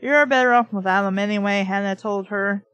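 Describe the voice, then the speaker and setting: A woman's voice: medium pitch, a little fast, a bit bright, no echo, highs cut off. A woman reading a book aloud and breaking in with blunt, sarcastic, profane commentary, arguing back at the text. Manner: blunt, sweary, mocking; opinionated, argues back at the text.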